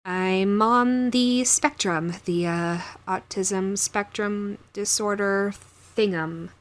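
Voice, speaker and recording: A woman's voice: medium pitch, steady tone, slow. A woman who hosts an audio roleplay channel, talking casually and directly to her viewers.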